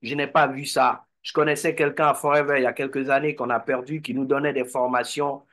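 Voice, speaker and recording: A man's voice: mid-range voice, level tone, fairly quick, a bit bright. A man giving a motivational talk to an online meeting, exhorting and repeating phrases. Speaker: network marketing speaker.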